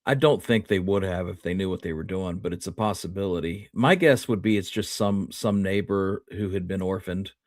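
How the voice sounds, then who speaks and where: A man's voice: sits low, moderate pace, few pauses, dry recording, clean studio sound. A man co-hosting a casual discussion, speaking in a tentative, reflective way.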